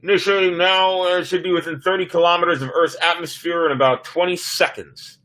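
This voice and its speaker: A man's voice: sits high, steady tone, brisk, sharp tone, voice pushed up, rough recording. A man voicing a dutiful, anxious spaceship pilot in a sci-fi story reading, formal and earnest. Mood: alert. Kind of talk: dramatic reading of sci-fi script.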